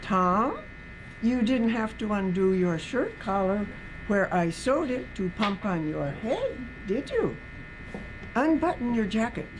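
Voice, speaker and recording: Woman as a folksy, probing old aunt, unhurried. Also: mid-range voice, very animated, background noise. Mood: suspicious. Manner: folksy rural dialect, coaxing questions. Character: shrewd, fond older aunt, folksy and probing.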